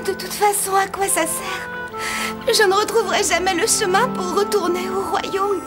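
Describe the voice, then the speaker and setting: A woman's voice: very high-pitched, fairly even intonation, normal speed, loud music behind the voice. A woman playing a sweet, earnest young princess in a cartoon fairy tale, polite and emotional. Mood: heartbroken. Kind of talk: animated fairy tale acting.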